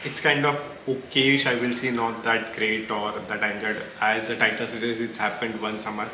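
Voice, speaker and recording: A man's voice: on the low side, level tone, normal speed, bright, forceful tone. A man with an Indian accent chatting casually and opinionatedly about books, rambling and mixing Hindi into English. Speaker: book reviewer reacting to recommendations. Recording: echoey room with clear background noise.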